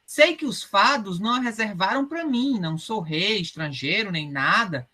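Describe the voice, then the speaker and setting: A woman's voice: mid-range voice, animated, moderate pace, pushed and bright, breathy edge. A woman playing a lovestruck, earnest, defiant young son, pleading melodramatically in a radio drama.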